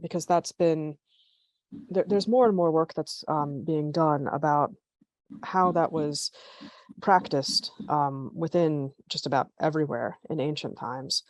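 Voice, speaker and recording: A woman's voice: deep voice, a little slow, no room sound. A woman hosting a podcast interview, enthusiastic and appreciative, with many "you know" fillers. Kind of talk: conversational interview.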